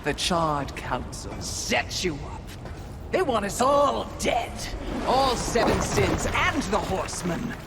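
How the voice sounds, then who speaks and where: A taunting, theatrical demoness of envy. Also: female voice, mid-range voice, big pitch swings, slow, noisy background. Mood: gloating. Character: gloating female demonic sin of envy, cunning schemer. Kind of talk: video game cutscene acting.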